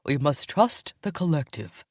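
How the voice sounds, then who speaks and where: A woman's voice: sits low, very animated, measured pace, dry recording, studio quality, exaggerated voice. A woman voicing an older male wolf in an audiobook, speaking earnestly as he argues. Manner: earnest, arguing. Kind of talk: audiobook character voice.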